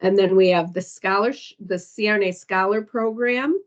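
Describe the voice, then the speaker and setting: Woman presenter, mid-range voice, halting delivery with frequent fillers, reading through program lists over a muffled lo-fi call line. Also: mid-range voice. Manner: hesitant, frequent ums, reads down lists. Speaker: foundation staff presenter. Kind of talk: orientation call presentation walking through slides. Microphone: conference call audio. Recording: dull lo-fi call recording.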